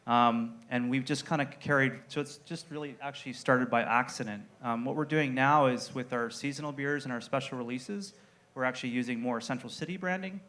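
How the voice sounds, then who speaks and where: A man representing a brewery on a panel, answering in a relaxed, story-telling way with frequent fillers. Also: mid-range voice, a little fast. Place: event room with an audience.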